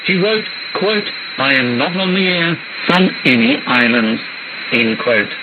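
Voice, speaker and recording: A man's voice: medium pitch, expressive, measured pace. A man reading scripted amateur radio news as a correspondent, heard over shortwave radio. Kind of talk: scripted news report read aloud. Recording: very noisy narrow-band shortwave radio reception. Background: loud shortwave static.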